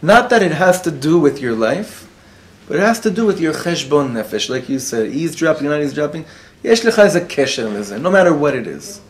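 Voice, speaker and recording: A man's voice: on the high side, lively intonation, a little fast. A man, a rabbi, teaching a live class to an audience in the room, mixing Hebrew and Yiddish words into his talk and putting questions to his listeners. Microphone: recorded for web students.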